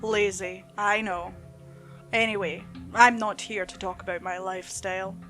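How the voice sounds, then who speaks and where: A woman's voice: mid-range voice, steady tone, moderate pace, a bit bright. A woman reading a scripted creepypasta story as host and narrator. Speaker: host and narrator of horror stories. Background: music.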